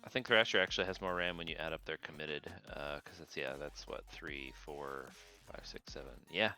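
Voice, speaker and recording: Deep-voiced easygoing stream host. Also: male voice, moderate pace, pushed and bright. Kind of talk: casual chat and game commentary. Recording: music in the background.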